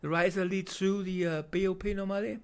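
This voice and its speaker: A man with a German accent giving unscripted voice-over commentary on video footage, halting with many fillers and restarts. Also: sits high, fairly even intonation.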